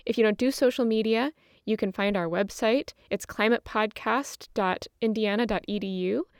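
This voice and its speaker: A woman's voice: medium pitch, moderate pace, clear, resonant tone, no echo, studio quality. A woman co-hosting a podcast in a casual, conversational style. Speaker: podcast host.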